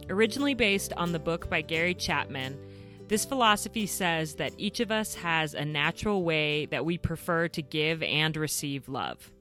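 A woman's voice: low voice, a bit bright, no echo, clean studio sound. A woman in her thirties hosting a podcast for moms, earnest and upbeat, reading a scripted intro and then interviewing. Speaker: podcast host.

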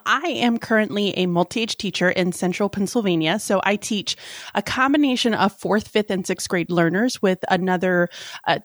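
A woman's voice: mid-range voice, no echo, studio quality. A woman in her thirties from Texas, a teacher, speaking in a friendly, bubbly way as an interview guest. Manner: friendly, bubbly, uses fillers. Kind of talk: casual interview.

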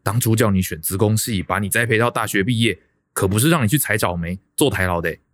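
A man's voice: fairly low pitch, fast, fairly bright tone, no echo, lightly acted. An actor playing a stern, controlling middle-aged father with a Taiwanese accent, curt and commanding in a radio drama. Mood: stern, scolding.

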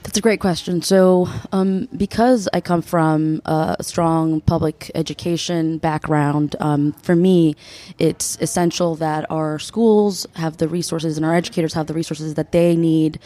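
A woman in her twenties, a state legislator from the United States, giving earnest, measured answers as a podcast guest, with frequent ums. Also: deep voice, fairly even intonation, warm tone, no room sound. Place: conference venue.

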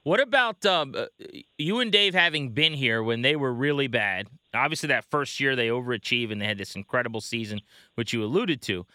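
A man's voice: mid-range voice, expressive, a little fast, bright, forceful tone, no room sound, clean studio sound. An American man hosting a baseball show, interviewing in a casual, conversational way.

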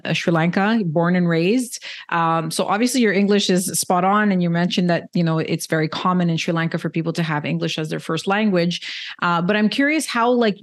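A woman hosting an interview, asking long, chatty questions with fillers. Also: on the low side. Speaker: interview host.

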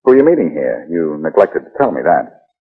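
An actor playing a glib, wisecracking, flirtatious private detective in 1940s radio style. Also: male voice, a bass voice, big pitch swings, fairly quick, breathy edge, heavily acted. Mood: wry, wary. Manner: glib, teasing banter. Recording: muffled, lo-fi 1940s radio recording.

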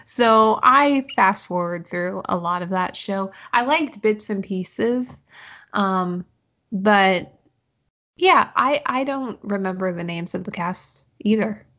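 A woman co-host chats at an unhurried pace, teasing her guest with easy giggles. The recording sounds a little muffled and lo-fi. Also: mid-range voice, sharp tone. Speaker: podcast co-host. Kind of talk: casual chat.

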